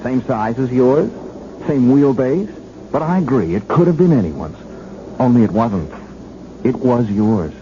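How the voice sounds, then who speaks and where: Radio-play detective: shrewd, folksy, deliberate and needling, very animated melody, dull vintage broadcast sound. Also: male voice, mid-range voice. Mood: smug, needling. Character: shrewd, folksy male detective confronting suspects. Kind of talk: 1970s radio drama acting. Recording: muffled, hissy 1970s radio recording.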